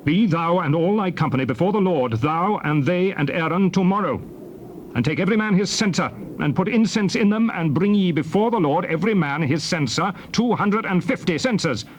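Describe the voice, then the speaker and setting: An actor playing an indignant prophet and leader, emphatic and declamatory, in a scripture drama. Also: male voice, high-pitched, expressive, brisk, slight background noise. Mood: commanding.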